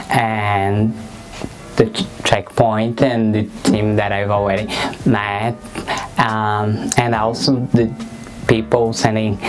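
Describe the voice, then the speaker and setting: Slow, measured man. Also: on the low side, low-quality recording. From Brazil. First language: Portuguese. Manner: measured, pauses with frequent ums. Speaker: guest, HIV activist. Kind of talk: thoughtful interview answers.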